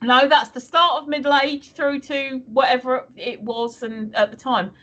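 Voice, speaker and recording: A woman's voice: high-pitched, fairly even intonation, a little slow, a bit bright. A woman in her fifties co-hosting a live stream, chatting cheekily with some swearing. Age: 50s. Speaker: stream co-host. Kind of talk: casual chat. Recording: dull lo-fi stream audio.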